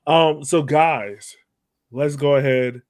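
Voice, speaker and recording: A man's voice: on the high side, slow, a little mellow, no room sound, studio quality. A man hosting a sports podcast in a casual, conversational style. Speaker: podcast host.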